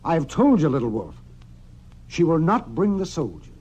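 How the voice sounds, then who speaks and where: Frontier doctor, lively pitch swings. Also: male voice, normal speed, husky, muffled recording. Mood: grave, firm. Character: seasoned frontier town doctor, protective and steady. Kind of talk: old-time radio Western acting. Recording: noisy old-time radio recording.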